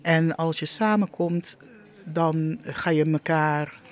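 A woman answering as an interview guest, speaking spontaneously and haltingly, repeating words for emphasis. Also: deep voice, steady tone. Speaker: interview guest, monument project leader.

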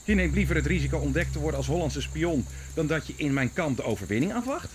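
An actor playing an imperious, suspicious Dutch prince and army commander in a radio play, brusque and challenging. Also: male voice, on the high side, lively intonation, fast talker, a little hiss or hum, natural voice. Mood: indignant, challenging.

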